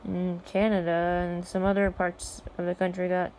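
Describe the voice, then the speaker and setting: A woman's voice: fairly low pitch, little pitch movement, normal speed, a little mellow, slight background noise, poor audio. An American woman in casual vlog chat, talking in an offhand way with muttered asides. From United States.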